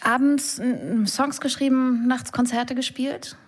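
A woman hosting a radio interview in a studio, presenting in a measured way and asking clear questions. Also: sits high, fairly even intonation.

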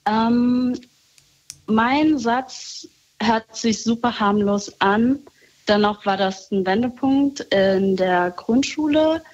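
A woman talking casually on a call, reflective and soft-spoken, often laughing lightly. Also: a little high, takes it slowly, dull audio. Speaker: guest.

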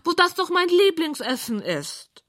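A woman voicing a vain, lonely, excitable pink pig in a children's bedtime story, squealing and theatrical in a self-important way. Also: high voice, a little slow, voice pushed up, heavily acted. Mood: indignant, self-important.

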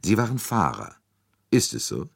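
A man voicing a shrewd, courteous gentleman detective, calm, deliberate and soothing, with bits of French, in an audiobook story. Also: sits low, creaky, breathy edge, no echo, studio quality, voice pushed up, full character voice. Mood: calm, probing.

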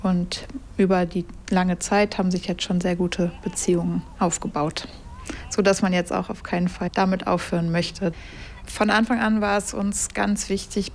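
Brief: female sports volunteer, mid pitch, lively and friendly. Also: vocal fry. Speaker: rugby project co-founder. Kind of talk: interview storytelling.

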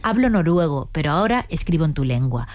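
A woman hosting a radio interview, asking measured, literary questions and adding frequent short acknowledgements. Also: sits low, sing-song, dry recording. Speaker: radio host interviewing an author.

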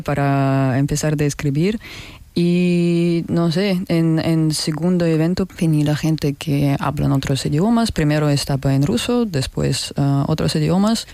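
A woman with a Russian accent answering casually as an interview guest, halting, with non-native phrasing. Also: sits low, warm tone, dry recording. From North Ossetia, Russia. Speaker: interview guest, community founder.

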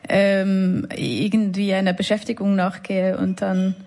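A woman from Switzerland with a Swiss German accent, speaking as a guest into a studio microphone. She talks in a casual, thoughtful way and often mocks herself with a small laugh. Her voice sits at a middling pitch. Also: flat delivery, unhurried, darkish tone. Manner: reflective, self-deprecating, laughs between words. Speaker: musician guest. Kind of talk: casual interview talk. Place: radio studio.

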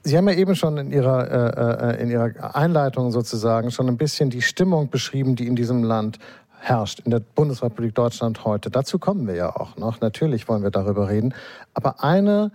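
A man's voice: mid-range voice, animated, a little fast, darkish tone, no echo, studio quality. A man hosting a conversational long-form interview, feeling his way tentatively toward his questions. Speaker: interviewer, host.

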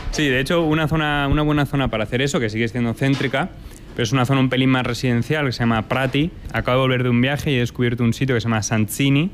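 A man from Italy and Spain who runs a restaurant, answering interview questions in a lively way and dropping in Italian food terms. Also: medium pitch, rapid-fire, faint music behind. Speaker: restaurant owner.

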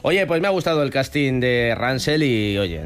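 A man's voice: medium pitch, lively intonation, moderate pace, smooth. A man with an accent from Spain chatting as a talk show host, opinionated and emphatic. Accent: Spain Spanish. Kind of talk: casual panel chat.

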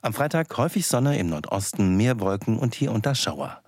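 A man's voice: medium pitch, sing-song, normal speed, darkish tone, dry recording, studio quality. A man reading a scripted weather forecast in standard German.

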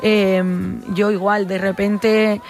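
A young woman with a Barcelona Spanish accent talks about her work as a flamenco singer. She answers slowly and reflectively, pausing on fillers and restarting her sentences as she searches for the right words. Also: mid-range voice, little pitch movement, darkish tone, soft background music. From Spain. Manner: thoughtful, hesitant with many fillers and restarts. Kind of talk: interview answers.

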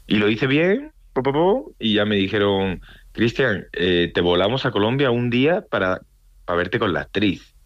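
Man, very deep voice, mixed Andalusian-Mexican Spanish accent, animated storyteller, interview guest, dry close sound. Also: dry recording, lo-fi sound. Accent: mixed Andalusian, Madrid Castilian and Mexican Spanish. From Andalusia, Spain. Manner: animated storytelling, acts out quoted dialogue. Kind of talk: casual interview chat.

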